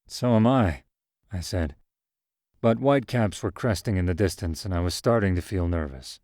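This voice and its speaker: A man's voice: deep voice, lively intonation, moderate pace, darkish tone, no echo, studio quality. A man reading scripted first-person fiction as the narrator of a short story.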